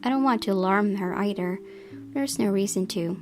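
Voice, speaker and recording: A woman reading a visual novel aloud as its player, in expressive storytelling narration. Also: medium pitch, normal speed, over music.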